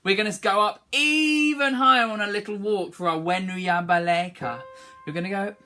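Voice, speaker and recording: A woman's voice: medium pitch, normal speed, pushed and bright. A woman teaching children a song, speaking encouragingly and singing short phrases for them to repeat. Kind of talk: online singing lesson, call and response.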